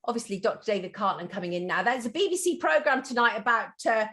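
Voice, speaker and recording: A woman hosting an interview show, talking briskly and emphatically. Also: a little high, fairly bright tone. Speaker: show host. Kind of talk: interview chat.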